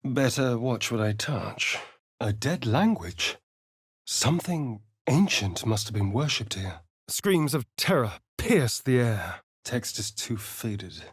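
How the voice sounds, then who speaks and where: A smooth snarky vampire rogue for a video game, super swoopy and dramatic, bone dry sound. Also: male voice, medium pitch, sing-song, slow, dry recording, full character voice. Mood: wary, reflective. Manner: theatrical, arch, drawling, with dramatic swells and hushes. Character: suave, sardonic, theatrical male vampire rogue. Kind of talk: video game character voice lines.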